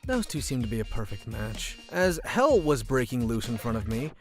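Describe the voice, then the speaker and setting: Manga dub lead: man voices a shy, earnest high school geek with a lively pitch range, over light music. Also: moderate pace. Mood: glum, reflective. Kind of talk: manga dub narration and dialogue.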